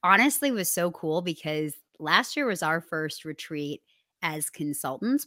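A woman co-hosting a business podcast, talking casually and at length in an upbeat, loud way, a little hoarse. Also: low voice, no echo, studio quality. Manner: loud, upbeat, talks through ideas at length. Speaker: podcast co-host.

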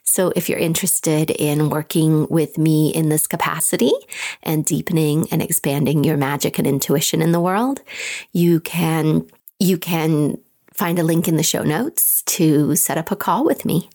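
A woman podcast host with a raspy, gravelly voice that sits low in pitch. She talks gently and unhurriedly, painting pictures as she leads an intuitive reading. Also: fairly even intonation. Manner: gentle, unhurried, imagery-rich. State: raspy voice today. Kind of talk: guided intuitive reading, descriptive.

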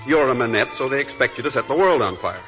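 Glib young man, acted, bright radio voice. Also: mid-range voice, animated, quick pace, lightly acted. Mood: bitter, self-mocking. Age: young adult. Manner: glib, teasing, self-deprecating. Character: restless, self-mocking young man from old Southern family. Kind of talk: 1940s radio drama acting. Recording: vintage 1940s radio broadcast, music behind.

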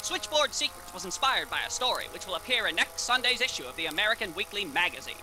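Man playing a high, showy 1930s radio announcer. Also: sing-song, fast talker, bright, forceful tone, faint music behind, heavily acted, low-quality recording. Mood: showy, declamatory. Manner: theatrical, declamatory.

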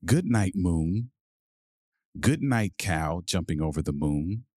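A man hosting a podcast and reading a children's picture book aloud in a measured, deliberate bedtime-story delivery. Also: low voice, expressive, darkish tone, no room sound, studio quality.

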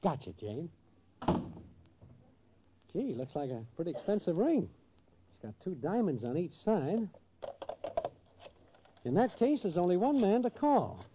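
A shifty small-time schemer from a 1940s radio comedy, talking slangy and grandiose. His fairly high voice swings widely in pitch. The old broadcast is muffled and noisy. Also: big pitch swings, measured pace, pauses often. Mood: sly, sentimental. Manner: slangy, mock-grand speechifying.

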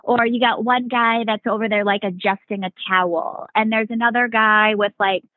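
A woman's voice: fairly high pitch, steady tone, moderate pace, dry recording. A woman, a Navy veteran guest on a podcast, telling stories in a rambling casual way, full of "like" and "right?". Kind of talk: casual storytelling chat. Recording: tinny phone-like remote line.

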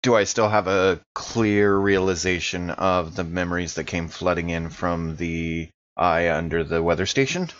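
A man's voice: sits low, normal speed, few pauses, fairly bright tone. Man gaming, slightly muffled lo-fi sound. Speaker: tabletop game player. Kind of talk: casual in-character game talk. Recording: slightly dull, lo-fi audio.

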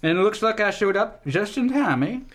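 A man's voice: high voice, expressive, normal speed. A man playing a blustering, fatherly army general in a comic radio play, commanding and folksy.

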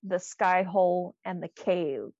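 Creaky woman, slightly dull sound. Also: on the low side, a little slow, a little mellow, no room sound. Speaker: podcast co-host, quiz reader. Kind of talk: casual banter, reading out quiz items.

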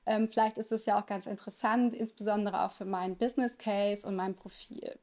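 A woman in her thirties who grew up in Lower Saxony speaking Italian first, answering interview questions as a lawyer in a chatty way with frequent hesitation fillers. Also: little pitch movement, a little fast, mellow. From Lower Saxony, Germany. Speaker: lawyer, podcast guest.

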